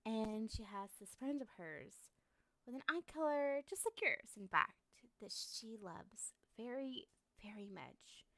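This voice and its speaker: A woman's voice: on the high side, animated, unhurried, bright, forceful tone, no echo, exaggerated voice, rough recording. A woman playing a lovestruck, obsessive young woman in an ASMR roleplay, talking to the listener in a coy, rambling, hesitant way. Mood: pointed, defensive.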